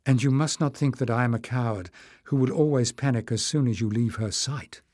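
A man voicing a gentle, reserved woman in a quiet, low voice for an audiobook. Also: brisk, dry recording, studio quality, close to an everyday voice. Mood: quiet resolve. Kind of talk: acted dialogue in audiobook narration.

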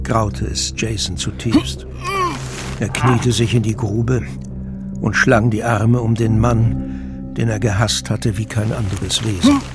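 A man narrating a scripted audio drama in German as the storyteller. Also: on the low side. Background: music behind the voice.

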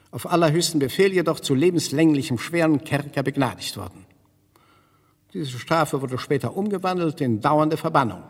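An actor playing a stern, haughty Russian legation official who speaks in pompous, clipped officialese in a German radio play. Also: male voice, on the high side, breathy edge. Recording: reverberant hall sound.

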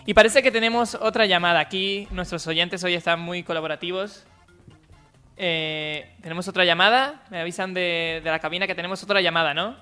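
A man's voice: high voice, steady tone, fairly quick, sharp tone, smooth, faint music behind. A man with an accent from Spain hosting a comedy call-in radio show. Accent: Spanish (Spain). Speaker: radio host.

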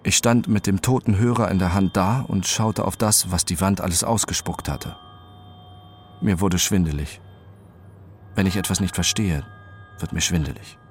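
An actor playing a detached accident survivor who narrates his own story deliberately and precisely in a radio drama. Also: low-pitched, a little hiss or hum. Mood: numb, precise. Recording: music under the voice.

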